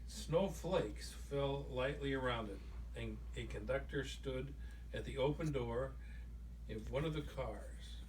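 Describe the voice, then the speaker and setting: A man reading a children's picture book aloud to family in an informal, amateur way. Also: mid-range voice. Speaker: amateur storybook reader. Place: room with listeners, mic at a distance. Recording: distant microphone, noisy room.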